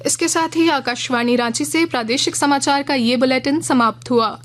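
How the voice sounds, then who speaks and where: A woman reading a regional radio news bulletin in a measured, formal way from a studio. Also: high voice. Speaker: news reader.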